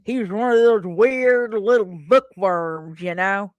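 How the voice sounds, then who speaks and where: A woman voicing a gruff, suspicious rural man in his fifties, with a blunt folksy drawl, in a dramatic comic reading. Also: medium pitch, a little slow, dry recording, muffled recording, natural voice. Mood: folksy contempt.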